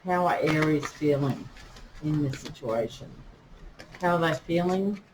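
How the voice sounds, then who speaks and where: A woman giving a casual tarot reading, chatty and full of fillers, pausing to ask her spirits and guides as she draws cards. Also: low voice, animated. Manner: chatty, many fillers, talks to spirits while drawing cards. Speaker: tarot reader. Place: indoors with rain outside. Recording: faint rain noise behind.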